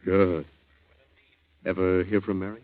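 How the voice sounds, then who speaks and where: Middle-aged hanger-on, 1940s radio play. Deep, dry, wry, faintly cynical; narrow vintage broadcast sound. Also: male voice, little pitch movement, a little slow. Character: wry, sponging aging old friend, faintly cynical. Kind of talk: 1940s radio drama acting. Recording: muffled narrow-band 1940s radio recording.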